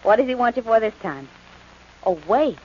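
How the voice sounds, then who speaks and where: Playful, husky girlfriend. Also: a little high, lively intonation, moderate pace. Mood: breezy, teasing. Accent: American. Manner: teasing. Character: playful, breezy girlfriend of the sleuth. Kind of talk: 1940s American radio drama acting. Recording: muffled old radio recording.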